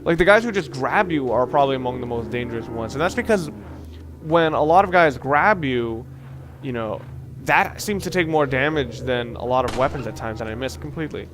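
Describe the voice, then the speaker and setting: A man's voice: mid-range voice, expressive. Rambling man commentating a game, noisy background. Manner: rambling, halting, thinking aloud. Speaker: let's play host. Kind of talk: casual gameplay commentary.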